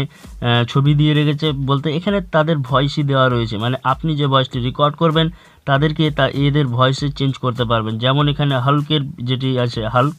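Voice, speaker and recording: A man's voice: mid-range voice, a bit bright, dry recording. A man hosting a tech channel, narrating a tutorial and addressing viewers directly as friends in an instructional way. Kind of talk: tutorial narration for an online video. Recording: music bed under the voice. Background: background music.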